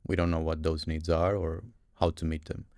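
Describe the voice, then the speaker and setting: A man's voice: extremely low pitch, flat delivery, moderate pace, a little mellow, no room sound, studio quality. A man in his thirties from Romania, a guest on a podcast, answering questions in a thoughtful, emphatic way and repeating his key points. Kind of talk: conversational interview answers.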